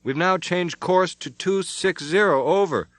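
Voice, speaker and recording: A woman's voice: fairly low pitch, unhurried, no echo, pitched above the performer's own voice, natural voice. A man voicing a young stewardess who talks over the radio in careful, formal phrasing. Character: young woman stewardess, earnest and steady. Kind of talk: audiobook character voice.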